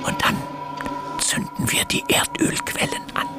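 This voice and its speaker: An actor playing a boastful revolutionary fanatic in a radio drama, intense and prone to whispering. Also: male voice, extremely high pitch, completely flat delivery, a little slow. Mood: conspiratorial. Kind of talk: German radio drama acting. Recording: music bed behind the voice.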